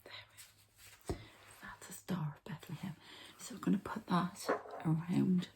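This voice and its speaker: A woman's voice: on the low side, steady tone, moderate pace, vocal fry. A woman whispering breathily in an ASMR style, explaining things informally step by step with some hesitation. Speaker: ASMR host and energy healer.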